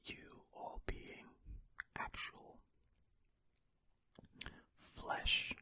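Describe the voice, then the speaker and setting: An ASMR host whispering breathily ear to ear into a close stereo microphone, reading a story aloud in an unhurried, musing way with casual asides. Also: bright, forceful tone.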